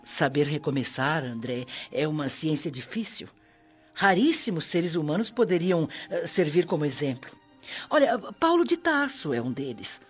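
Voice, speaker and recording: A kindly, humble old spirit mother in a Brazilian radio drama. She gives earnest, motherly counsel with very expressive intonation. Music plays softly behind the slightly muffled recording. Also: deep voice, normal speed, husky, full character voice. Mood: earnest, instructive. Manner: maternal, earnest, gently exhorting.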